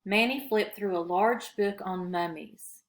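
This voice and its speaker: A woman narrating a children's story aloud in an expressive, read-aloud storyteller's style. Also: medium pitch, a little slow.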